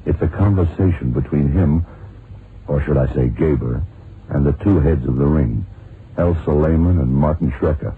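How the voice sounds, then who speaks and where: A man's voice: extremely low pitch, moderate pace. An actor playing a cool, self-assured detective in a radio crime drama, unruffled and wry as he explains things. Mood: matter-of-fact, assured. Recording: muffled noisy vintage radio drama recording.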